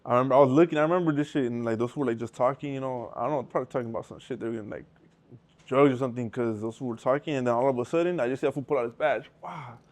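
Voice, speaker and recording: A man's voice: mid-range voice, rapid-fire, darkish tone. A young man from Southern California telling stories in a rambling, profane way full of street slang. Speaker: interview guest. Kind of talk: casual storytelling with heavy slang.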